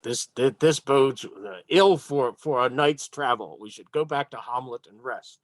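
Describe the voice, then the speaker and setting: A man's voice: mid-range voice, measured pace, crowd noise, natural voice. A man voicing a grave, weary dwarf adventurer with formal, old-fashioned phrasing in a tabletop game.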